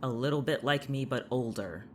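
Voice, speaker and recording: A woman's voice: rumbling low, moderate pace, a little hiss or hum. A woman playing a defensive, foul-mouthed woman in an audio drama, combative in manner. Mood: irritated, defensive. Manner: combative, profane. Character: defensive, foul-mouthed young woman insisting she is real.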